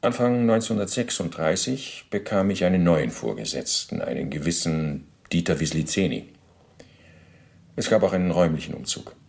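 Former military officer under interrogation, deep gravelly voice, bureaucratic, precise, self-justifying, radio drama. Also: male voice, animated, moderate pace, a little mellow. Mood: matter-of-fact, self-justifying. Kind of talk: radio drama testimony, recounting at length.

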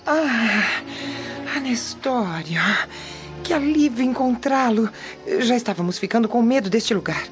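A woman's voice: on the high side, lively intonation, measured pace. An actress playing a sly, deferential servant woman of ancient Rome in a radio soap opera, obliging and evasive. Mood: feigned relief. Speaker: radio drama actor. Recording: dull lo-fi audio with faint music.

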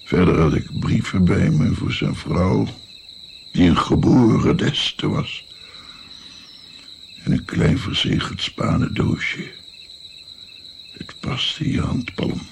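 A man's voice: low-pitched, lively intonation, takes it slowly, vocal fry, pauses often, a little hiss or hum, exaggerated voice. An actor playing an older musician and physician, recalling his naive youth in a reflective, hesitant, wry way in a radio play. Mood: wry, reminiscing.

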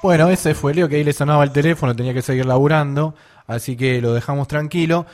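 A man's voice: on the high side, fast, darkish tone, faint music behind. Argentine man hosting a radio show. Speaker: radio host. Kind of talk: conversational music commentary.

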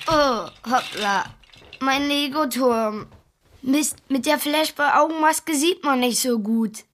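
A woman's voice: sits high, slow, fairly bright tone, slight background noise. A woman playing a clumsy, stubborn schoolboy in a children's radio play, defensive and apologetic. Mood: sheepish. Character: clumsy, stubborn, dreamy schoolboy superhero fan.

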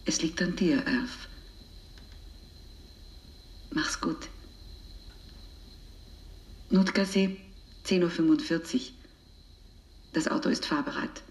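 A bitter, determined woman of the underground in a radio play. Her voice is low and breathy, often sinking to a half-whisper. She speaks slowly and deliberately, in an echoing room. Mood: grave, resolute. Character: resolute, bitter underground activist woman confessing on tape. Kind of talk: radio drama monologue.

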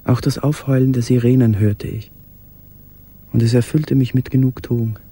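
Ein Schauspieler spielt in einem Hörspiel einen gequälten, sich erinnernden Mann im Versteck, gedämpft, stockend und intim gesprochen. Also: male voice, mid-range voice, fairly even intonation, normal speed, warm tone, husky, close to an everyday voice. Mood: haunted, yearning. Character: haunted man in wartime hiding, remembering a beloved. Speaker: actor in a radio play. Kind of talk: literary radio play monologue. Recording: faint background noise.

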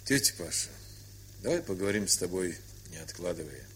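A good-natured grown man in a Soviet radio drama, frank and calm. His low voice is measured and gently teasing, with a faint hum on the old recording. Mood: earnest. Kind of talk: Soviet radio play acting.

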